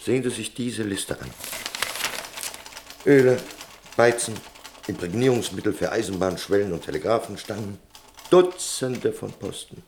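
Radio play role: evasive, pompous male merchant male actor. Very deep, creaky, lecturing and insinuating. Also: darkish tone, a little hiss or hum, lightly acted. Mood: urgent, insistent. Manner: lecturing, insinuating, drawn-out emphasis. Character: evasive, self-important merchant Don Fernandez. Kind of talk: German radio play acting.

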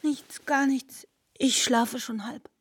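An actress playing an evasive, wounded young woman in a literary radio drama, halting and confiding, at times dropping toward a whisper. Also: sits high, fairly even intonation, breathy edge, no echo, heavily acted.

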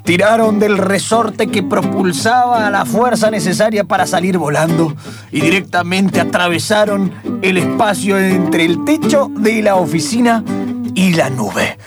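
A man narrating an improvised radio play in dramatic, halting phrases. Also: high-pitched, loud music behind the voice.

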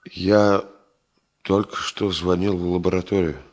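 A man's voice: low voice, steady tone, measured pace. An actor playing a brusque, agitated male chief engineer in a 1970 Soviet radio play, emotional and abrupt. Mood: subdued, heavy. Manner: emotional, abrupt, swinging from hushed to shouting.

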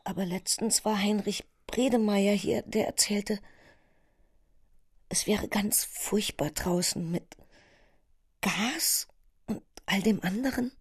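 An actress playing a worried, middle-aged mother of a young soldier in a radio play, with a breathy, husky voice. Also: medium pitch, slow pace, long pauses. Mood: anxious, worried. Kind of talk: radio drama acting.

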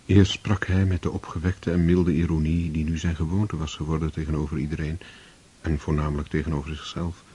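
A male narrator reading a literary story in a measured, scripted way. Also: rumbling low, flat delivery, dark tone, husky. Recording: dull lo-fi recording.

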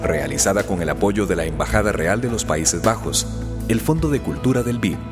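A man's voice: fairly low pitch, expressive, normal speed, music in the background. A man narrating and announcing a radio drama from a script.

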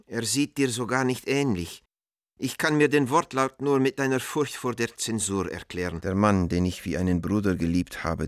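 Deep-voiced, earnest man pleading by letter. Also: no echo, studio quality. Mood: troubled. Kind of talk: letter read aloud in radio drama.

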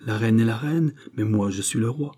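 A stern, measured king, read by a man in a low, husky voice. He questions calmly and with authority, the tone dark and warm.

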